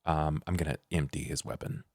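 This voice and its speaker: A man's voice: rumbling low, steady tone, brisk, no room sound, studio quality. A man speaking casually and briefly as a player in a tabletop roleplaying game.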